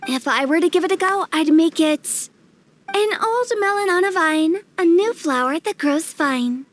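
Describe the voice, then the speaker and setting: A woman's voice: very high voice, expressive, normal speed. A woman playing a perky, eccentric, confident young hostess in a video game dub, chirpy and self-assured.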